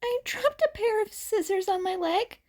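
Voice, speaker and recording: Woman voicing a jittery teenage boy vigilante. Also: animated. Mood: evasive. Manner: high-pitched, loud, hurried. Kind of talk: fanfiction audiobook dialogue.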